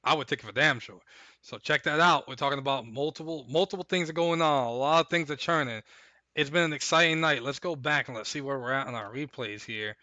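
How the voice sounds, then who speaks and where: A man hosting a live stream, chatting casually and recapping fights in a rambling, hyped way while his voice goes hoarse. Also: medium pitch, a little fast, pushed and bright, no echo. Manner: rambling, hyped, repeats himself. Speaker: stream host.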